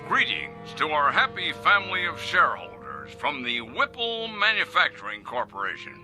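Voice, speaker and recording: An actor playing a pompous, self-satisfied factory boss in a radio drama, presenting to shareholders with a showy salesman's delivery and grand pauses. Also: on the high side, wildly swooping pitch, music in the background. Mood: grandly proud. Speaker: company head addressing shareholders. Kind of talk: radio drama acting as a corporate video presentation.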